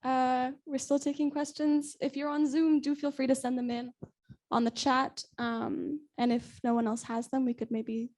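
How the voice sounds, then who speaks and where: A woman's voice: high-pitched, little pitch movement, normal speed, darkish tone. A woman hosting a live event, politely taking questions from the room and online in an informal announcing style. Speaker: event host.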